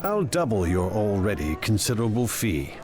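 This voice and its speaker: An actor playing a proud, ruthless crime lord in a video game, with an imperious, deliberate, menacing delivery. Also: male voice, on the low side, sing-song, crowd noise. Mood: brisk command. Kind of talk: dramatic video game acting.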